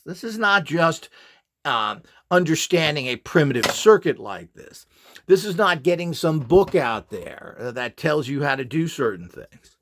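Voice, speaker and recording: Male presenter, middle-aged or older. Slightly high voice with big swings in pitch, emphatic and self-assured, talking off the cuff. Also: a bit bright. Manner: opinionated, boastful, emphatic. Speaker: presenter of a how-to video series. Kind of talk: unscripted product demonstration and explanation.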